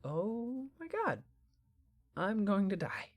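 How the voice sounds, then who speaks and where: A woman's voice: medium pitch, very animated, takes it slowly, a little mellow. A woman playing a stubborn, skeptical young friend in a naturalistic audio drama, with a dry, flippant delivery. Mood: panicked.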